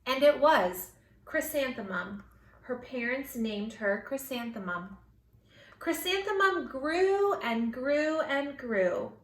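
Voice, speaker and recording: A woman reading a children's story aloud in an expressive, theatrical way, drawing out words for effect. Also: high voice. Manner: theatrical, with drawn-out words and changing character voices. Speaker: storybook reader. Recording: roomy echo, distant microphone.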